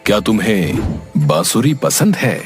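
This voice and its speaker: Mysterious wandering holy man, male actor, deepened voice, calm and probing, animated tale. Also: measured pace, soft background music. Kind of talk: cartoon moral story acting.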